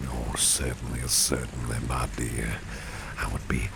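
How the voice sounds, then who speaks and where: A man playing a courteous, doting businessman boss in an ASMR roleplay, speaking in a soothing, breathy half-whisper. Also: a bass voice, completely flat delivery, unhurried, heavily acted. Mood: attentive, gently charming. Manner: gentlemanly, formal, soothing. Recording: noisy, with rain and street ambience.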